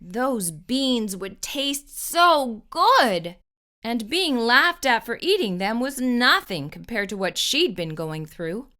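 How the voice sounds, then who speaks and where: A woman narrating a children's storybook expressively, with deliberate, dramatic pauses. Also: on the high side, sing-song, pushed and bright. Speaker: children's storytime host reading aloud.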